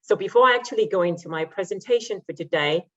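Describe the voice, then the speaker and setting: A woman's voice: mid-range voice, expressive, fast talker, fairly bright tone. A woman from Zimbabwe giving a conference presentation as a solution architect, conversational with frequent fillers. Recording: muffled lo-fi audio.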